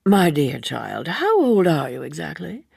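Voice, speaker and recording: A woman voicing a suave, worldly gentleman in an audiobook, leisurely, wordy and teasingly ironic, an amused seducer. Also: low-pitched, very animated, a little slow, gravelly, husky, dry recording, studio quality, exaggerated voice. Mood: exasperated, genial. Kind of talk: audiobook character dialogue.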